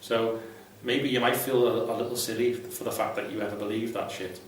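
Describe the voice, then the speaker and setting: A man's voice: on the low side, steady tone, fairly quick. Blunt, sweary man in a very echoey room. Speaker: vlogger, ex-Jehovah's Witness advice host. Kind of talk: casual unscripted advice talk to viewers. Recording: very echoey room, distant mic, faint noise.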